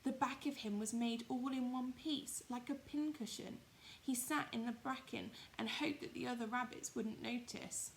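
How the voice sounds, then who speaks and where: A woman's voice: fairly high pitch, moderate pace, creaky, hardly any pauses, a little hiss or hum, poor audio. A woman reading a children's story expressively, with emphatic, dramatised phrasing. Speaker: primary school storyteller.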